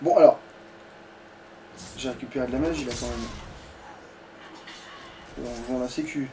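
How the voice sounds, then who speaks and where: A man's voice: mid-range voice, fairly even intonation, moderate pace, mellow. A man chatting casually while playing a game, grumbling in coarse slang. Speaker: let's play co-host playing the game. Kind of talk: casual gaming chat. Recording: distant mic with faint noise.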